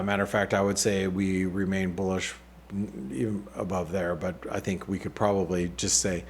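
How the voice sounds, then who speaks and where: A man's voice: fairly low pitch, darkish tone. A man hosting a weekly market outlook, talking casually over charts with many fillers, restarts and wry asides. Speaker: market analyst host.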